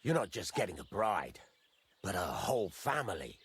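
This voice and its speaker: Low, gravelly older blacksmith. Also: male voice, sing-song, normal speed, a bit bright, a little hiss or hum, full character voice. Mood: teasing, advising. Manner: blunt, folksy, swears mildly, teasing. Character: gruff older medieval master blacksmith, bantering and fatherly. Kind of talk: video game dialogue acting.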